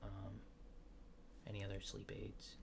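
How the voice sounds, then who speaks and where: A man doing soft-spoken, partly whispered ASMR, playing a laid-back alien abductor asking casual, hesitant survey questions in a deadpan way. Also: low voice, unhurried, creaky, background noise. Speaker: ASMR creator.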